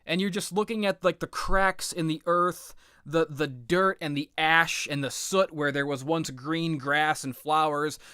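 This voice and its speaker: A man's voice: a little high, fairly bright tone, clear, resonant tone, no room sound, clean studio sound. A man giving a casual, comedic rant as a video game commentator, exasperated and profane, with rambling, stammered repetitions and plenty of exaggeration.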